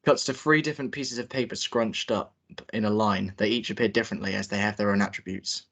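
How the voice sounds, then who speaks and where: Young male director-narrator, informal and halting, rapid delivery, slightly low pitch. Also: steady tone, fairly bright tone. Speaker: director hosting a table read and reading narration. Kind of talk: informal hosting and script narration.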